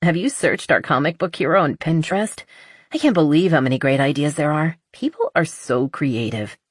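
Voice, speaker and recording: A woman narrator voices a young female bartender from a romance audiobook. She is confident and breezy, talking casually in a lowish register. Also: animated, moderate pace, breathy edge, no room sound, clean studio sound.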